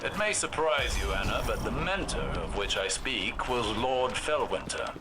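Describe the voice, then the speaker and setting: A man playing a proud, regretful, older warlock mentor in a video game, speaking in a formal, measured way with deliberate pauses. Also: mid-range voice, animated, background noise, lightly acted. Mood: wistful.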